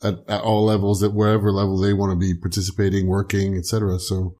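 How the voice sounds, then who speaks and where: A man hosts a casual podcast interview, tossing in eager affirmations and restarting his sentences as he goes. His voice sits on the low side. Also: monotone, quick pace, dark tone. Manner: enthusiastic, rambling, frequent restarts and affirmations. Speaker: podcast host.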